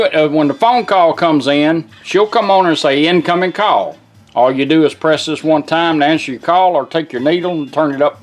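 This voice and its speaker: Give a man with a slightly high voice, talking in a folksy, plain-spoken way as he demonstrates a product. His delivery is casual and hands-on, with some music playing behind him.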